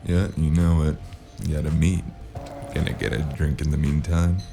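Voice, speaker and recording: Very deep, gravelly noir loner. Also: male voice, level tone, moderate pace, music in the background. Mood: casual. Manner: wry, laconic, brooding. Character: world-weary male noir hero, terse and wry. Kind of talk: noir first-person narration and dialogue.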